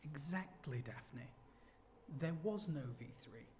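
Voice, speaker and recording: An actor in a period radio drama playing a young, well-spoken English ex-soldier, jovial and full of old-fashioned exclamations. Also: male voice, on the high side, expressive, takes it slowly, darkish tone, a little hiss or hum. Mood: wry storytelling.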